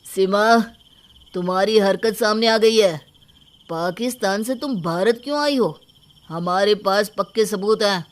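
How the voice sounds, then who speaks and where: A woman's voice: mid-range voice, a little slow, lightly acted. A woman playing a firm, insistent accuser in a moral story. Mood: accusing.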